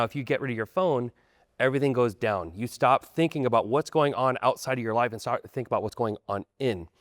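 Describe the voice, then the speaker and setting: A man in his thirties, a guest on a discussion panel, talking in a rambling, animated, conversational way. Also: medium pitch, no echo, studio quality. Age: 30s.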